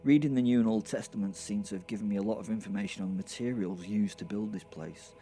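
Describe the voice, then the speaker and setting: A man's voice: lowish voice, fast talker, mellow, soft background music, natural voice. A man playing an irreverent, foul-mouthed werewolf who narrates an audio drama with hesitant, rambling, wry asides. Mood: exasperated, wry. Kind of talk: first-person horror comedy audio drama narration.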